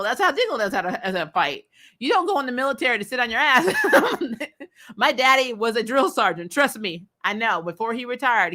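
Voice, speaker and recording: An American woman hosting a casual recap show, chatting in a blunt, animated, opinionated way with informal language. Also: medium pitch. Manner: animated, frequently laughing, blunt and informal with mild swearing. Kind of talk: casual opinionated fan chat, reading and answering comments.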